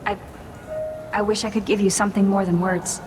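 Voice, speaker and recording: A woman's voice: mid-range voice, little pitch movement, fairly quick, music in the background, lightly acted. A woman playing a shy, downtrodden young alien girl in a video game, with a hesitant, unassuming manner. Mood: touched, subdued.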